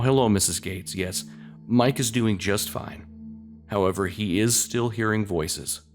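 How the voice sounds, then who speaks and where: A man playing a polite, measured psychiatric doctor giving a professional update on a phone call in a horror story. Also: deep voice. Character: calm, reassuring male psychiatric hospital doctor on the phone.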